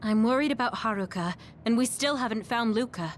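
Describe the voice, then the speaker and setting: Woman acting a gentle, slightly high-voiced squadmate. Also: fairly quick, a little hiss or hum, natural voice. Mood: concerned. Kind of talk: video game cutscene voice acting.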